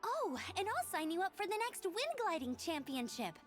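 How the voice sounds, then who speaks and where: A woman voicing a peppy, competitive young woman in a video game, teasing and expressive. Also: very high voice, moderate pace, faint music behind. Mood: cheerful. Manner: bright-spirited, teasing, expressive.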